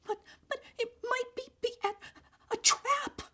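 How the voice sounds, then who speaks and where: A woman voicing a timid, fretful little girl in a storybook, squeaky and stammering. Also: fairly even intonation, a little slow, bright, forceful tone, exaggerated voice. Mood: nervous. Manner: stammering, breathy, whiny.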